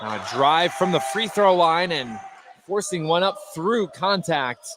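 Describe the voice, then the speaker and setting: A man's voice: fairly high pitch, lively intonation, measured pace. Male basketball play-by-play caller, noisy gym. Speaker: play-by-play sports commentator. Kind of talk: live high school basketball radio commentary. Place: indoor basketball gym during a game. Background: loud gym ambience, basketball bounces.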